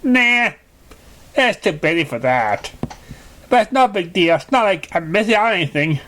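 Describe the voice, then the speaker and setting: Man voicing an eager, high-voiced pony schoolgirl. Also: expressive, moderate pace, fairly bright tone. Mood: nonchalant. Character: young schoolkid pony, female, casual and eager.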